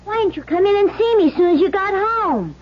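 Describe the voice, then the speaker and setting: A woman voicing an eager, playful little boy with an excitable childlike manner in an old-time radio drama. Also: very high-pitched, fairly quick. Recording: dull lo-fi old radio recording.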